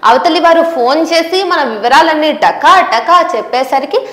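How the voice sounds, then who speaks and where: Female news presenter, high voice, scripted explainer delivery, echoing room. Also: expressive, unhurried, fairly bright tone. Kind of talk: scripted explanatory narration to viewers. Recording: roomy, echoing voice recording.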